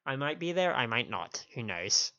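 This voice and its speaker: A man's voice: mid-range voice, big pitch swings, moderate pace, sharp tone. A man chatting casually as a livestream host, sniffly, with hesitant, rambling asides. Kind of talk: casual stream chat. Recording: dull lo-fi stream audio, faint music.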